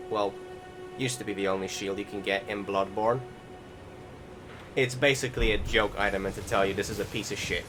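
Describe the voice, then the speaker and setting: Guy doing chill gameplay commentary, lowish voice, rambly and sweary, music going behind him. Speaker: gaming video creator.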